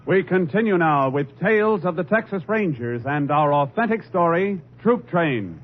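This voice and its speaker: A man's voice: on the high side, lively intonation, moderate pace. A man announcing a 1950s radio show from a script. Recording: dull old radio with loud music.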